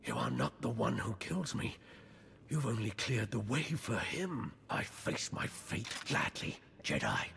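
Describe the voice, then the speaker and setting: An actor playing a proud, fatalistic dark lord in a video game, gravelly and theatrical, with ominous drawn-out delivery. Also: male voice, fairly low pitch, huge pitch swings, slight background noise, full character voice. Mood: defiant, resigned. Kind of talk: video game villain acting.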